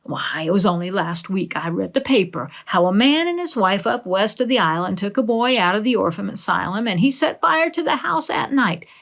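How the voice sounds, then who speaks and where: A woman's voice: mid-range voice, animated, normal speed, vocal fry, hardly any pauses, fairly bright tone. A woman voicing a blunt, alarmist older village busybody with an emphatic, scolding delivery in a storybook reading. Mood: scolding, alarmed.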